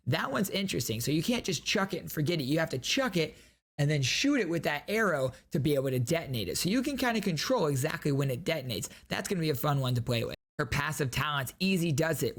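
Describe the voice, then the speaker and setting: Higher-pitched man, enthusiastic gaming commentary. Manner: enthusiastic, explanatory. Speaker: reaction video host, gaming streamer. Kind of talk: video game reaction commentary.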